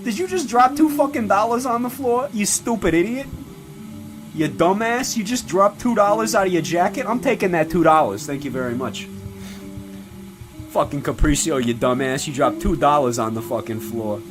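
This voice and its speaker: A man playing a crude, foul-mouthed old Italian-American mobster who belittles people and rambles with sudden loud outbursts. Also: high-pitched, normal speed, pitched above the performer's own voice, close to an everyday voice. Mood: contemptuous. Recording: music playing clearly behind.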